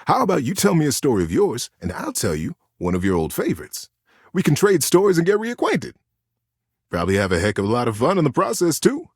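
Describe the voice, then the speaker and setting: A man voicing a kindly, folksy old childhood teddy bear in a video game, talking like a gentle, earnest storyteller. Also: a little high, huge pitch swings, a little fast, husky, dry recording, studio quality, heavily acted. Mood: cozy, inviting. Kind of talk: video game character dialogue.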